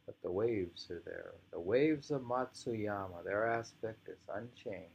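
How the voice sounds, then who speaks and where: A man reading poetry aloud informally, musing and halting as he adds his own commentary in an unhurried way, on a dull home recording. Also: fairly low pitch, vocal fry, darkish tone, slightly rough. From United States. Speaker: poetry reader.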